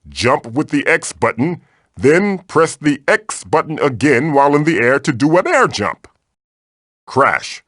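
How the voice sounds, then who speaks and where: A man's voice: medium pitch, fairly bright tone, no room sound, clean studio sound. A man voicing a wise, wisecracking ancient magical mask who guides players through a video game in a theatrical, avuncular, wry way. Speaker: game guide character giving tutorials. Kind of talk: animated video game voice acting.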